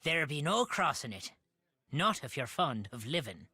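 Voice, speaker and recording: A man's voice: fairly high pitch, big pitch swings, normal speed, sharp tone, slightly rough, dry recording, full character voice. A man playing a blunt, superstitious old Irish local in a video game, folksy and ominous in his talk. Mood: gruff warning. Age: older.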